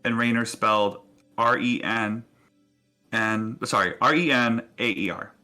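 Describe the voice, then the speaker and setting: A man's voice: mid-range voice, fairly even intonation, measured pace, pushed and bright, soft background music. A man narrating a tabletop roleplaying game in a casual way as the game master.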